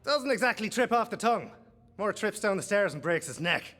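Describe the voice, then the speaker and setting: A flippant game adventurer, rather high-voiced and swooping in pitch. He is quippy, theatrical and scatterbrained, with reverb trailing the words. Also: lively intonation, brisk, sharp tone. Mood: wry. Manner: theatrical, wry, jumpy. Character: flippant, easily distracted male vault hunter, quippy. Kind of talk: video game character dialogue.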